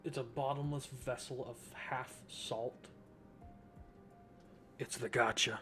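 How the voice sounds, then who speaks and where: A man's voice: mid-range voice, fairly even intonation, slow pace, music in the background. A young man giving casual, slangy, joking gameplay commentary as a streamer. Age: young adult. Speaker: game streamer.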